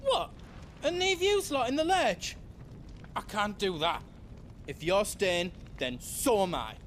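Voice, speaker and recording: An actor playing a timid but loyal young merchant in a video game, earnest and nervous, with a Northern English accent. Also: male voice, sits high, lively intonation, slow pace, fairly bright tone, slight background noise, full character voice. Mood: anxious, loyal. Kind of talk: dramatic video game cutscene acting.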